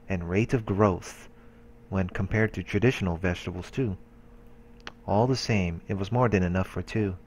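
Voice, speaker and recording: Low-voiced guy reading out a visual novel, tosses in little comments, faint hiss behind. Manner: steady reading with casual asides. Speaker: let's play host. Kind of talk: reading game text aloud.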